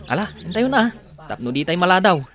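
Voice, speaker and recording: A man playing a brisk, bossy young farm worker in a radio comedy drama. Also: high-pitched, big pitch swings, a bit bright, heavily acted. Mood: brisk, urging. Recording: music under the voice.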